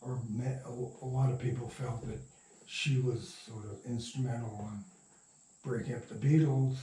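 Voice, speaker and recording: A man's voice: mid-range voice, steady tone, mellow. Older man reminiscing, distant and echoey. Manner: rambling, halting, chuckling raconteur. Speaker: interview guest, radio producer and sound recordist. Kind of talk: casual anecdotal storytelling. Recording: roomy echo, distant from mic.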